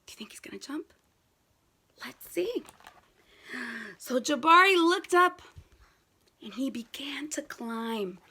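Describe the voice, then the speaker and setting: High, bright-voiced woman reading to children. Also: husky. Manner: animated teacher-like prompting, repeats and emphasises key words. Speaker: read-aloud storyteller for young children. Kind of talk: interactive picture-book read-aloud with questions to children.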